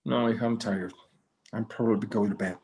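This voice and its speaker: A man's voice: lowish voice, fairly even intonation, a little fast, a little mellow, lightly acted. A man playing a stubborn, tired old Mexican rancher father who mixes English and Spanish in a screenplay table read. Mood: weary, concerned.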